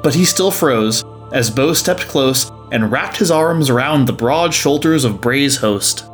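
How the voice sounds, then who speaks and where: A man's voice: mid-range voice, lively intonation, unhurried, faint music behind. A man narrating a scripted story.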